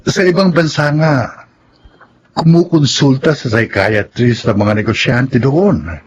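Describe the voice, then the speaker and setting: An actor in a Filipino radio drama playing a reasonable, reassuring psychiatrist advising a couple. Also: male voice, medium pitch, lively intonation, slow. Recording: dull sound with faint noise.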